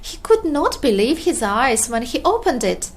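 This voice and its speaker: A woman reading a children's storybook aloud in an expressive, deliberate storytelling manner. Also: high voice. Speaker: storybook narrator.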